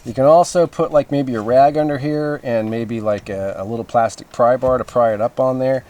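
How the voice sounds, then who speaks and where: A man's voice: mid-range voice, moderate pace, darkish tone. A man giving a casual, rambling hands-on demonstration as he explains gear, in an informal manner. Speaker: presenter of CB radio antenna tests.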